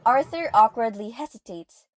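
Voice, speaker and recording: Higher-voiced woman narrating an audio drama. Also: lively intonation, normal speed.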